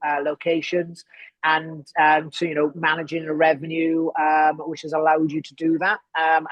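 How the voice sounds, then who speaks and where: A man with a somewhat high voice hosts a webinar in a casual, filler-heavy way, sounding friendly and informal. The audio is dry and close from a computer mic on a video call. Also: no room sound, poor audio. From southern England near Wales. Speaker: webinar host. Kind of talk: casual hosting, introducing a guest.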